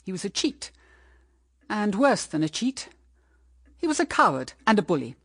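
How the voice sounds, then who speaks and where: An actress playing a middle-aged, embittered widowed mother in a radio drama, speaking in an earnest, measured, persuasive way. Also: mid-range voice, very animated, a bit bright, slightly rough, no echo, clean studio sound, exaggerated voice. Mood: bitter, confiding. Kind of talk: BBC radio drama acting.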